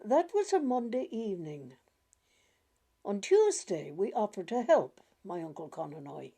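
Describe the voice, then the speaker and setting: A woman reads a literary tale aloud with a gentle, deliberate delivery. Her voice is mellow and creaky, and she takes her time over each phrase at a slow pace. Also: medium pitch, very animated. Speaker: reader of short stories. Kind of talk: gentle literary story reading.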